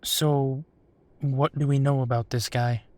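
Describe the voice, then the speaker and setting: A man's voice: medium pitch, level tone, measured pace, no echo, lightly acted. A man playing a wry, foul-mouthed werewolf private investigator in a roleplay audio drama, talking casually. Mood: businesslike, curious.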